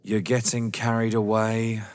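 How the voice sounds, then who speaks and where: An actor playing a down-to-earth young lover drawn into a scheme, with a wary, dry, protesting manner, in a stage-thriller audio drama. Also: male voice, fairly low pitch, completely flat delivery, a little slow, a little mellow, close to an everyday voice. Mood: dry, impatient. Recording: long hall-like reverb.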